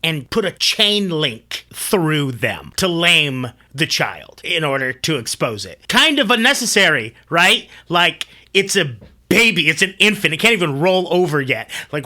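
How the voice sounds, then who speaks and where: A man with a bright, forward voice hosts a storytelling show. His pitch sits a little high and swings widely as he talks. He speaks informally, like someone chatting through a tale and adding his own commentary.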